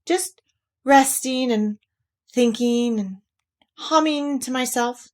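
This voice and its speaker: Lady doing a anxious little storybook bear, plaintive n a bit huffy, high voice, slow lively delivery. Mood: careless. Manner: plaintive, hesitant.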